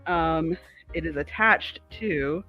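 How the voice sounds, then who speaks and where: A woman's voice: low-pitched, slow, muffled recording, faint music behind. A woman co-hosting a livestream, chatting casually about crafts in a rambling, easily sidetracked way. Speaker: livestream co-host.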